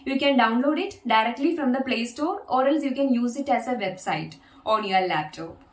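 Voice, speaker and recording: A woman's voice: fairly high pitch, expressive, moderate pace, reverberant. A woman whose first language is Malayalam, teaching an English lesson and patiently demonstrating an app step by step. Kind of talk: video lesson.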